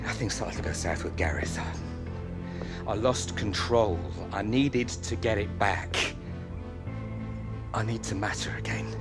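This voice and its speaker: A man's voice: on the low side, unhurried, creaky, long pauses. A man acting an anxious, apologetic middle-aged football agent in a video game cutscene, pleading and washed-up. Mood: weary regret. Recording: background music.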